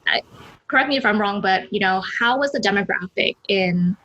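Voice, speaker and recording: A woman's voice: medium pitch, brisk, bright, forceful tone, dry recording, highs cut off. A woman co-hosting a podcast interview, asking long, rambling questions full of "you know". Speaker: podcast co-host.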